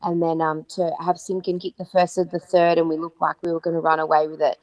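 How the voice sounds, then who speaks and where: An Australian woman co-hosting a podcast, her voice croaky from yelling, chatting enthusiastically with frequent ums and restarts. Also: sits low, flat delivery, fast, no room sound. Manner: enthusiastic, many fillers and restarts. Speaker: podcast co-host. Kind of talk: casual chat about football.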